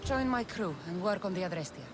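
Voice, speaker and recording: A woman's voice: mid-range voice, animated, a little fast, over music, lightly acted. An actress playing a tough, sardonic young Greek mercenary woman in a video game cutscene, dry and sceptical in manner. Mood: exasperated.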